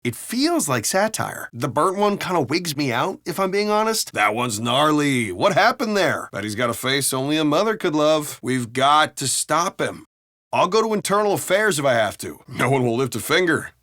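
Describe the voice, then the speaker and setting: A foul-mouthed, griping city cop with an American accent in a video game. His pitch sits a little high for a man and moves in lively swings. He talks in wry, casual banter, recorded dry and close to clean. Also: normal speed, dry recording. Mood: casual, opinionated, then indignant.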